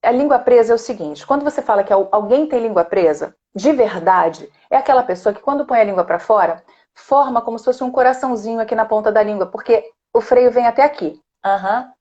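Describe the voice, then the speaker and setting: A woman's voice: a little high, animated, brisk, darkish tone, lo-fi sound. A woman speech therapist with a Rio de Janeiro accent, explaining voice technique in a live online chat and tagging her points with "né?". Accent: Rio de Janeiro Brazilian Portuguese. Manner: explanatory, demonstrates sounds, frequent "né?".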